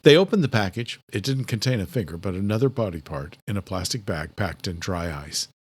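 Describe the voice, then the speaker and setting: A man's voice: low voice, lively intonation, fairly quick, husky. A man giving dramatic scripted narration as an audiobook narrator.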